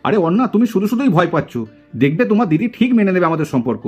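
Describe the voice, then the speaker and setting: A woman's voice: fairly low pitch, sing-song, racing, darkish tone, husky, faint music behind. A woman voicing a devoted young man doll in a puppet drama, earnest and coaxing. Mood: reassuring, coaxing.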